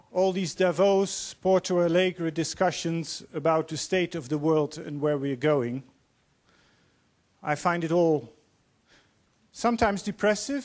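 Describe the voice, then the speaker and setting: Dutch guy in his 50s, fairly high voice, handheld mic in a big hall, earnest and measured. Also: darkish tone. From Netherlands. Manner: earnest, measured, quoting speakers. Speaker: panel moderator. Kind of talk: formal opening speech. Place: large auditorium on stage.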